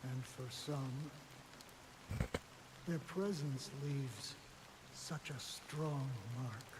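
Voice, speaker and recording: Game role: disillusioned male philosopher, very slow, grave, halting, clear rain noise behind. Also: mid-range voice. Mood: grave, reflective. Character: weary, disillusioned male storyteller in a fantasy game. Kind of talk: scripted game voice acting.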